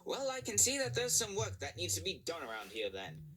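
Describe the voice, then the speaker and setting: A man voicing a rude, pushy American railway controller, raised high above his own voice, with a heavy American accent. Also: wildly swooping pitch, fairly quick, bright, forceful tone, heavily acted. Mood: brash. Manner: brash, dismissive, high-pitched bluster.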